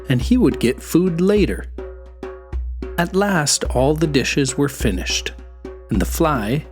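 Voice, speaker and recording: Very animated, unhurried children's storyteller. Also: mid-range voice. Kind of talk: expressive scripted children's folktale narration.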